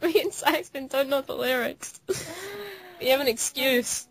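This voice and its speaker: High-voiced woman in casual chat. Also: unhurried. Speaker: cast member of a fan voice-acting project. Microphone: voice call microphone. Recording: faint noise on online voice call.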